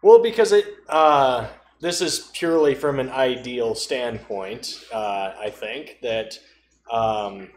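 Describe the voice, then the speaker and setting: A man in casual podcast conversation, speaking in a halting way as he thinks aloud. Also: mid-range voice. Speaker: podcast co-host. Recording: roomy echo, faint background noise.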